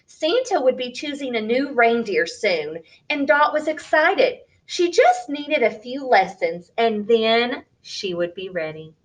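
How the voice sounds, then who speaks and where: A woman reading a picture book aloud for young children in an expressive, deliberate way, as a storyteller. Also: fairly high pitch, poor audio.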